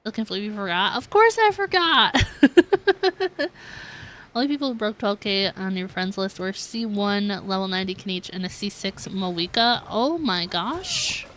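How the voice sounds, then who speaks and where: A woman's voice: medium pitch, expressive, a little slow, fairly bright tone, a little hiss or hum. A woman livestreaming a game, chatting casually and informally in a giggly, self-deprecating way. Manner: informal, peppers speech with "like". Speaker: game streamer, host. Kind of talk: casual livestream chat while playing a game.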